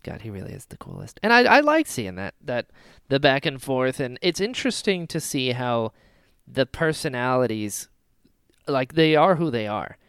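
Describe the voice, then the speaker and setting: A man's voice: mid-range voice, moderate pace, clean tone, no room sound, studio quality. A man hosting a podcast, chatting casually and enthusiastically as a fan. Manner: enthusiastic, animated. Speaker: podcast host.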